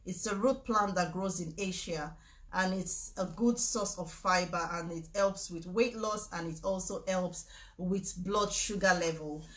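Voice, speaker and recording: A woman, talking casually to the camera, mixing a sales pitch with preaching, emphatic and repetitive, with frequent laughter. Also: measured pace, fairly bright tone. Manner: chatty, emphatic, repeats herself, laughs often. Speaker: vlogger promoting supplements. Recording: echoey room.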